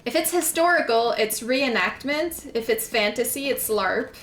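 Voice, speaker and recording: Bright-voiced woman streaming games. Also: on the high side, expressive, moderate pace, a little hiss or hum. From Quebec, Canada. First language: French. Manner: chatty and rambling, with frequent "like" fillers. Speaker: game streamer. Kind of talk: casual chat while gaming. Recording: echoey room.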